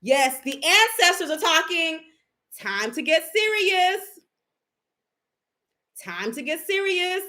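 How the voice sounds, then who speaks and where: A woman's voice: extremely high pitch, big pitch swings, slow pace, long pauses, pushed and bright. A woman giving a casual psychic tarot reading, brash and profane, with a sassy, emphatic way of talking. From Haitian family. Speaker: psychic reader.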